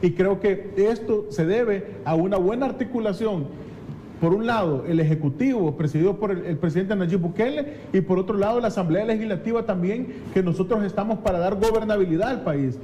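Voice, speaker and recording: A man's voice: high-pitched, brisk, mellow, muffled recording. A Salvadoran man speaking as a legislator in a political interview, explaining earnestly and often repeating phrases as he goes. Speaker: legislator, talk show guest. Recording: faint background noise.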